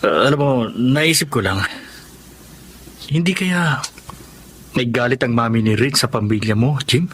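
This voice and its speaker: A man's voice: mid-range voice, a little slow, long pauses, fairly bright tone, a little hiss or hum, lightly acted. A man playing a thoughtful young friend in a drama, conversational and supportive. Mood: musing. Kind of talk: drama acting.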